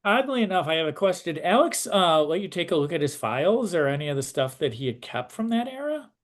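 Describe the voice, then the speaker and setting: Higher-voiced man asking interview questions. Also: very animated, fast talker. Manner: detail-minded, gives brief acknowledgements.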